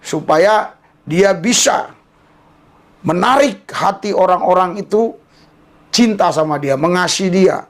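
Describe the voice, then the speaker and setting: A man's voice: high voice, slow, long pauses. A man gives casual commentary with a preaching bent, mocking and repeating himself for emphasis. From Indonesia.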